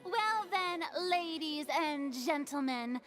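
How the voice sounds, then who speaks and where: A very high, swooping young woman's voice, grandiose and smug as a courtroom prosecutor. She taunts with showy theatrical flourish over clear background music. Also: expressive, slow pace. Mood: pompous, challenging. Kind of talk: video game courtroom drama acting.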